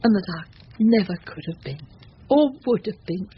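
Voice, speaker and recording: A woman's voice: mid-range voice, lively intonation, moderate pace, close to an everyday voice. A woman playing a flustered, remorseful working-class Victorian wife and mother, tearful and fretful, in an old-time radio drama. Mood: regretful confiding. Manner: tearful, fretful, sighing exclamations. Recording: muffled, hissy old radio drama recording.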